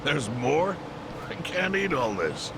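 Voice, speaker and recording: An actor playing an elderly, world-weary chairman in a video game, with a measured, dry, pragmatic manner over background noise. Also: a little high, very animated, gravelly, full character voice. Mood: genial. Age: older. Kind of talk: English video game voice acting.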